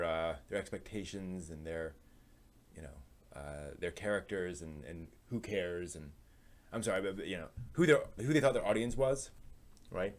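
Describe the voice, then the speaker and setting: A man hosting a live stream, giving opinionated commentary on anime in a rambling, thinking-aloud way. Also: sits low.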